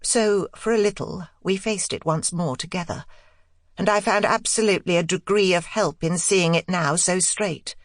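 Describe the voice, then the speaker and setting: A woman narrating a literary audiobook in a measured, dramatic manner. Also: on the low side, no echo, clean studio sound.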